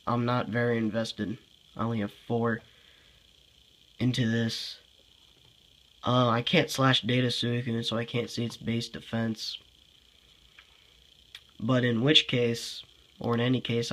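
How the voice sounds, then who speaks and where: A man's voice: mid-range voice, fairly bright tone, low-quality recording. A young man with a congested voice giving casual game commentary, thinking aloud, sighing often and sounding frustrated. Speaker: gameplay commentator.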